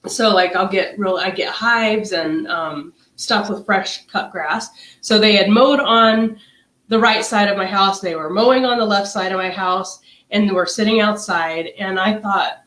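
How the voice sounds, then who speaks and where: A woman's voice: medium pitch, a little slow, fairly bright tone. A middle-aged woman who sounds nasal and stuffed up, co-hosting a casual wellness livestream and talking in a chatty, rambling way with many fillers. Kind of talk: casual chat. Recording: echoey room.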